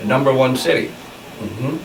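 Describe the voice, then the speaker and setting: A man's voice: medium pitch, expressive, normal speed. Old man, 80s, rambling plainspoken storyteller; far mic, roomy echo, noisy background. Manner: rambling, many uhs, plainspoken recollection. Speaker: interviewee. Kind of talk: oral history interview. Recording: distant mic in a roomy space with background noise.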